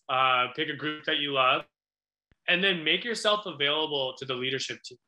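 A man's voice: a little high, sharp tone. An American man in his thirties answering questions conversationally as a guest restaurateur on a video call. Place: small indoor office. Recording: echoey room on video call.

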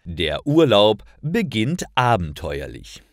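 A man narrating a scripted story for language learners, with deliberate, carefully articulated and expressive delivery. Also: fairly low pitch, no room sound, clean studio sound. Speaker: narrator of German listening lessons.

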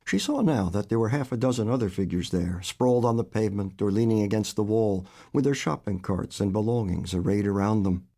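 A man in his seventies, an author reading his own short story aloud in a measured, expressive way. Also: on the low side. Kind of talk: literary fiction reading.

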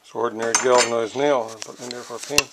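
A middle-aged man talks through a toolmaking job in his cluttered home workshop, plain and matter-of-fact, trailing off into mumbled asides. His voice sits at an ordinary pitch. Loud clanking and scraping noise from the workshop fills the handheld camera recording. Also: fairly even intonation, very fast. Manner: rambling, matter-of-fact, half-muttered asides. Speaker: hobby toolmaker. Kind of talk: workshop tutorial.

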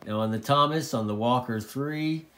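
A man's voice: medium pitch, normal speed, a bit bright. A man informally explaining and demonstrating a product, repeating phrases for emphasis. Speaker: presenter of a radio tutorial. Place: indoors at a desk.

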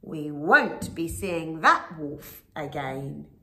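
A woman voicing small plucky little pigs in a storybook reading, with a squeaky, high, excited manner. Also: drawn-out words, exaggerated voice. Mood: defiant. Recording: echoey room.

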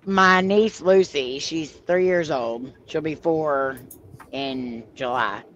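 A woman hosting a live stream over a video call, telling stories in a chatty, animated way and laughing as she goes. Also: sits low, takes it slowly, slight background noise. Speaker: host of a paranormal live stream. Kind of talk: casual storytelling chat. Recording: slightly muffled video-call audio.

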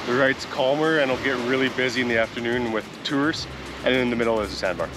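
Easygoing man with loud music behind. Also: mid-range voice, quick pace. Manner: relaxed, matter-of-fact, giving tips. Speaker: travel vlogger, co-host. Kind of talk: casual chat. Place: outdoors at a beach.

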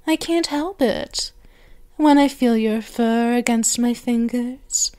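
A woman's voice: a little high, warm tone, clear, resonant tone. A woman performing an intimate ASMR roleplay as a doting, teasing, possessive vampire mistress, cooing and sometimes slipping into whispers. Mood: tender. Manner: slow, cooing, with whispered phrases and drawn-out words.

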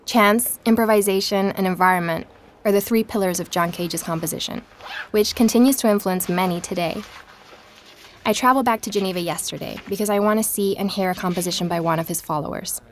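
An actress playing a curious woman investigator who hosts a podcast in an audio drama, speaking in a measured, explanatory way. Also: mid-range voice, a little hiss or hum, lightly acted. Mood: matter-of-fact. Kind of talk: scripted documentary-style podcast narration.

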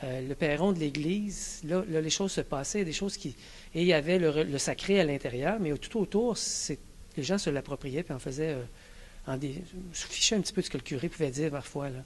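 A man's voice: on the high side, fairly quick, slight background noise. A man in his forties with a Québécois accent, giving a thoughtful, hesitant vox pop answer. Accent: Québécois French. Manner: thoughtful, searching for words. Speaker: local resident. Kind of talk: vox pop street interview. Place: indoors, church hall before a drawn mural. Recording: music bed underneath.

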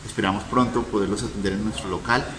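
A man's voice: fairly low pitch, fairly even intonation, fast talker, noisy background. A man, a cook and manager, steadily describing his restaurant. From Colombia. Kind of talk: promotional self-introduction.

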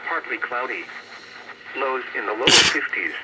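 A synthetic man's voice reading a formal weather bulletin in a measured, impersonal way through a radio scanner speaker. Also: mid-range voice, expressive, a crowd in the background, low-quality recording. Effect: heard through radio scanner speaker. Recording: radio speaker picked up by handheld camera. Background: listener talking over the broadcast.

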